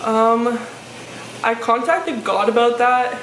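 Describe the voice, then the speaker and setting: A young woman in her twenties with a deep voice, answering viewer questions in a frank, chatty way, full of "like" fillers, seated on a living-room sofa. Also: steady tone, slow, a bit bright. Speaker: fitness vlogger. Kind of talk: casual Q&A vlog. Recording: echoey room with faint hiss.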